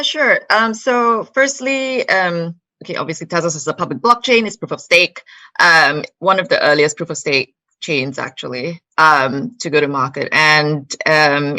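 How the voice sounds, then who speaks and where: A woman guest on an online panel talks in a bright, forward voice. She hesitates often and fills the gaps with ums while she looks for the right words. The sound is dry and close. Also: low-pitched, dry recording. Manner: hesitant, many fillers and searching for words. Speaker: panel guest, marketing head.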